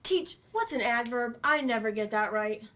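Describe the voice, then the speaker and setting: A woman's voice: on the high side, lively intonation, normal speed, sharp tone. A woman acting in a staged play reading as an eager, blunt adult student with a casual, slangy, questioning way of talking.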